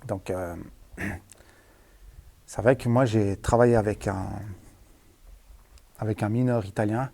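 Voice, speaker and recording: A man's voice: fairly low pitch, steady tone, takes it slowly, long pauses, mellow. A man chatting casually and hesitantly as he tells stories for a vlog, in colloquial speech with trailing tags. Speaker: vlogger, former tunnel worker. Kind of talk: casual rambling storytelling to camera. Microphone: handheld at arm's length.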